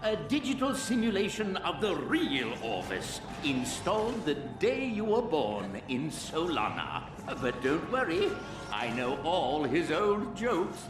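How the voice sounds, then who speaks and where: A kindly, eccentric hologram of an alien inventor for a game. He speaks slowly and whimsically, pitch swooping widely, like a doting father. The sound is echoey, with music behind. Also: huge pitch swings, heavily acted. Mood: affectionate. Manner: whimsical, drawn-out, swooping delivery. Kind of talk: video game cutscene acting.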